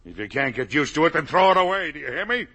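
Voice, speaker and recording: An actor playing an older, guilt-ridden, blustering father in 1950s radio drama, with a gravelly, raspy voice. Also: a little high, very animated, fast, exaggerated voice. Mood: furious, defiant. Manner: blustering, emphatic, swinging from muttered to shouted.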